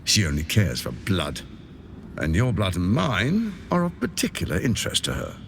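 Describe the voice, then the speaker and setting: An actor playing a suave, scheming tyrant lord in a video game, speaking in a silky, pompous, measured and persuasive way. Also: male voice, deep voice, huge pitch swings, vocal fry, fairly bright tone, a little hiss or hum, heavily acted. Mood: warning. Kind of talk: theatrical video game cutscene acting.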